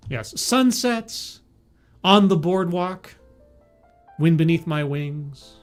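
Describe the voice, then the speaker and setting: A man streaming live, mocking a talk he is watching with deadpan, stammering asides and greeting his chat. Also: on the high side, takes it slowly, soft background music. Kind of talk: sarcastic live commentary.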